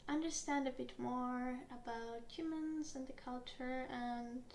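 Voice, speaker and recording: Soft-spoken high-voiced Finnish woman, slow. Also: fairly even intonation, reverberant, slight background noise. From Finland. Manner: gentle, unhurried, with soft giggles and filler words. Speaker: ASMR roleplay interviewer. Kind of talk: soft-spoken ASMR roleplay.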